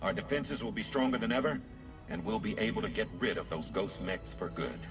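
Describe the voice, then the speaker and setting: An actor playing a resolute mission leader in a video game, speaking in a deliberate, earnest way. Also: male voice, lowish voice, lively intonation, vocal fry, over music. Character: resolute male mission leader rallying his team. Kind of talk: video game cutscene acting.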